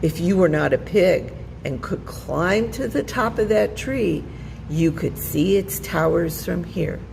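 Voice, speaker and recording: A woman voicing a sly, smooth-talking male fox villain in a children's storybook, with a coaxing manner. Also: fairly low pitch, animated, slow, long reverb tail, noisy background, exaggerated voice. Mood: scheming. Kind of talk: children's storybook character reading.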